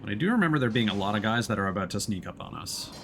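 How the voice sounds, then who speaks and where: A man giving casual live commentary as he plays a video game, thinking aloud in a halting way. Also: fairly low pitch, animated, vocal fry, a bit bright, a little hiss or hum. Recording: game music behind the voice. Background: game music.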